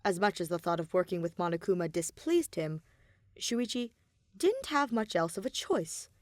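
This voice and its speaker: A woman reading scripted fiction as an audiobook narrator, with expressive, deliberate storytelling. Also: on the low side, dry recording, studio quality. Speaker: podfic narrator.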